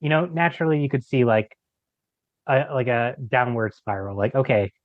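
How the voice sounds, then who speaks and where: A man with a mid-pitched voice chats casually as a podcast guest. His talk is loose and informal, and the recording is dry and a little dull. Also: expressive, moderate pace, no room sound. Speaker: podcast guest, creator of an audio drama. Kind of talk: casual chat.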